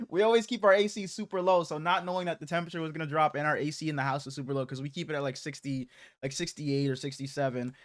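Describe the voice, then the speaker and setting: A man's voice: a little high, fairly even intonation, fast. A man hosting a casual sports podcast, slightly congested, talking in loose, profane slang. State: slightly congested, under the weather.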